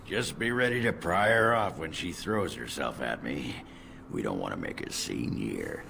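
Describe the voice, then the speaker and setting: An actor playing a crude, boastful dwarf warrior in a fantasy video game, with guttural, coarse, swaggering banter. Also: male voice, rumbling low, expressive, normal speed, bright, forceful tone, a little hiss or hum, full character voice. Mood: eager, nervous. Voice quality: gravelly, guttural.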